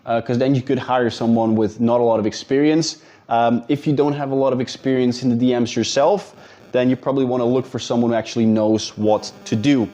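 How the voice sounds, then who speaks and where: A man's voice: mid-range voice, dark tone, slight background noise. A man with a Dutch accent, a business coach talking casually and directly to viewers in an advice video. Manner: direct, informal, addresses viewers as guys.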